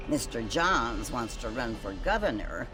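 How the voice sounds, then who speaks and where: An actress playing a genteel, self-satisfied older society matron in a western video game, prim and agreeable. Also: female voice, very deep voice, big pitch swings, a little slow, music in the background, full character voice. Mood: genteel, smug.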